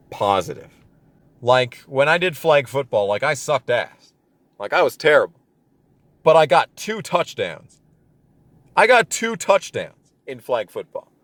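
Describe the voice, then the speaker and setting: A man's voice: mid-range voice, fairly bright tone. A young man telling a casual personal story as an exasperated, self-deprecating rant with plenty of swearing. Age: young adult. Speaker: storytelling video creator.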